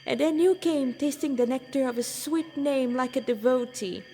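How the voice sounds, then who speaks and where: Exuberant, blissful young male holy wanderer, played by a woman, grandiose and devout, pitched high, in a reverberant space. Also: moderate pace, warm tone, faint music behind. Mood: ecstatic, joyful. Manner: effusive, grandiose, devotional declaiming.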